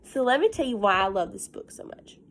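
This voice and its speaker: A woman teacher reading a picture book aloud to young children, with simple, encouraging asides and stressed, drawn-out storytelling phrases. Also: on the high side, very animated, a bit bright, slight background noise.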